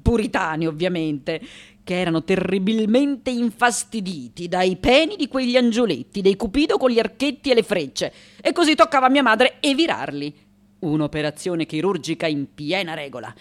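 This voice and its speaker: An actress playing an elderly woman sculptor in a theatrical radio monologue, candid, restless and vulnerable, confiding with emphatic repetitions and dramatic pauses. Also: medium pitch, expressive, natural voice. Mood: mischievous.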